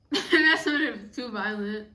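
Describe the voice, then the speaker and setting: A woman's voice: on the high side, very slow, bright, forceful tone. A young woman co-hosting a vlog, bantering casually with playful, teasing interjections. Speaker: vlog co-host. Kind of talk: casual banter during a mukbang. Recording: roomy echo, distant mic, some noise.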